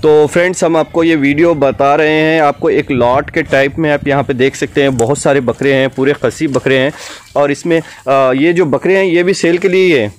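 A man's voice: a little high, steady tone, normal speed. A man hosting a casual on-site livestock vlog, politely chatting and explaining to viewers. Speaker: host and interviewer. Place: open-air fenced goat farm yard. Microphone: handheld camera or phone mic. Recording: clear outdoor noise, animal sounds.

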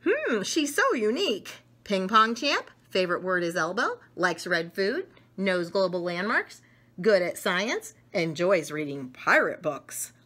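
A middle-aged woman reading a picture book aloud to children, expressive and enthusiastic, with chatty asides to her listeners. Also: medium pitch, unhurried. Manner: enthusiastic, expressive, talks directly to young listeners. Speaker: read-aloud storyteller. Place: small domestic room indoors.

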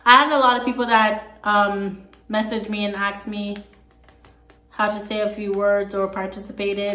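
A woman's voice: medium pitch, a bit bright, a little hiss or hum. A young woman from Jamaica with a light Jamaican accent, chatting to the camera on her vlog with sassy asides, sitting on a couch at home. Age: young adult. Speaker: vlogger. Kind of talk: casual chat to camera. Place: small room at home, on a couch. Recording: echoey room.

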